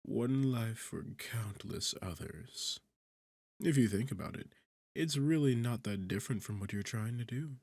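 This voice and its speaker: A sardonic demon king, acted by a man, low and gravelly, speaks close and dry in an intimate fantasy audio roleplay. He takes his time, teasing and condescending, with a bored, seductive arrogance. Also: a little mellow, dry recording, exaggerated voice. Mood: coaxing.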